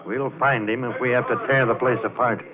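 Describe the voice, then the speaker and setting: A hard-nosed, authoritative cop from an old radio thriller, acted by a man. He is curt and matter-of-fact and will not bend. The sound is narrow and dated, with clear crowd noise around him. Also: mid-range voice, fairly even intonation, fairly quick, natural voice. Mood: firm, threatening. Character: firm, unbending male state police sergeant. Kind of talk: old-time radio crime drama acting. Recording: thin vintage radio recording, background hubbub.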